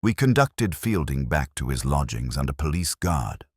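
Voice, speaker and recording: A man with an extremely low voice narrates a mystery tale in a measured, theatrical way. His pitch moves expressively, and the sound is close and dry. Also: big pitch swings, slightly rough, no room sound. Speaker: audiobook narrator. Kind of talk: scripted dramatic detective-story narration.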